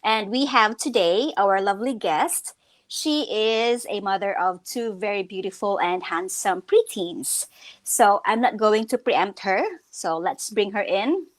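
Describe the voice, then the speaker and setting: This is an upbeat woman from the Philippines co-hosting a relaxed online chat show. She talks in a chatty, friendly way, full of little fillers and restarts. Her pitch sits in an ordinary middle range. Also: measured pace. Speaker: co-host. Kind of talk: casual live-stream chat.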